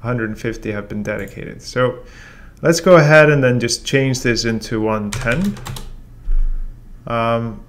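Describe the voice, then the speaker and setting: A man in his forties teaching a software tutorial in a relaxed, step-by-step way, with frequent ums. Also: mid-range voice, measured pace. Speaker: software trainer. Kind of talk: casual screen-share tutorial. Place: room with a brick wall and whiteboard, then voice-over of screen recording.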